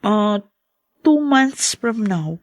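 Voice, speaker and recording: A woman's voice: mid-range voice, lively intonation, extremely slow, dry recording, clean studio sound, full character voice. A woman playing a meek, weary young pregnant wife in a Tagalog radio drama, with a plaintive manner. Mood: shy, hesitant. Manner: apologetic, plaintive.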